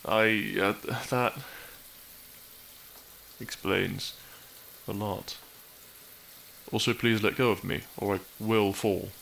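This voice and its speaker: A man's voice: lowish voice, steady tone, takes it slowly, gravelly, pauses often, fairly bright tone, slight background noise, heavily acted. A man playing a depressed, dry-witted young man in an intimate audio roleplay, speaking with hesitant, awkward teasing to his best friend. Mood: flustered, tender.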